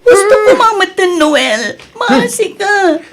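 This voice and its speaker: A woman's voice: extremely high pitch, big pitch swings, slow pace, fairly bright tone, exaggerated voice. A woman with an Ilocano Filipino accent playing a long-suffering, submissive young wife in an audio drama. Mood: sobbing, pleading.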